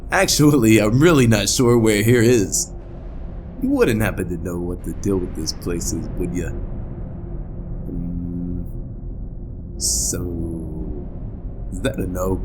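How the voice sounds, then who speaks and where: A man's voice: low-pitched, voice pushed down. A man voicing a laid-back, wisecracking but uneasy skeleton in a comic dub, mumbling hesitantly with dry humor.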